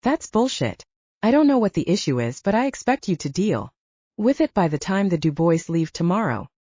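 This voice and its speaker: A woman's voice: lowish voice, big pitch swings, moderate pace, warm tone, no room sound, dull audio. A woman voicing a commanding, exasperated older brother, an alpha werewolf, with a stern, patronizing manner in an audiobook.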